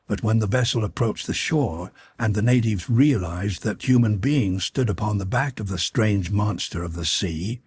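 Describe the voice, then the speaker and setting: Low, husky male audiobook narrator. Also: darkish tone, no echo. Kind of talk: scripted literary narration of an adventure novel.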